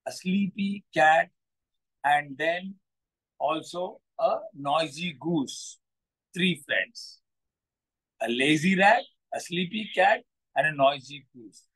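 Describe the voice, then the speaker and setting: A middle-aged man with an Indian accent, a coach telling a moral story off the cuff in a deliberate way, often repeating his phrases. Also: high voice, a bit bright, husky, low-quality recording. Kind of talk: unscripted moral storytelling to a group. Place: open balcony or corridor of a building.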